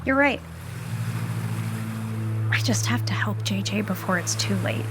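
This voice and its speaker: A woman plays a businesswoman weighed down by regret in an audio soap opera. She confides seriously in a mid-range voice, over a clear music bed. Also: medium pitch, a little slow, natural voice. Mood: regretful, worried. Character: remorseful businesswoman worried for her brother. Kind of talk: naturalistic soap opera dialogue. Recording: studio drama with music underneath.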